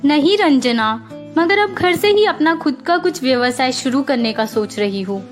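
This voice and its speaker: A woman's voice: sits high, expressive, unhurried, faint music behind. A woman playing a meek, obedient, overworked young daughter-in-law in a cartoon story. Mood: hesitant.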